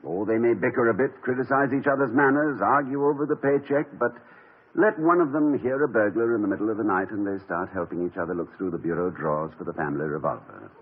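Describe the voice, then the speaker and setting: An actor with a British accent playing a witty, genial college president in a 1950s radio comedy. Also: male voice, lowish voice, fast talker, breathy edge. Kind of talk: 1950s radio drama acting. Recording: tinny old radio broadcast with faint hiss.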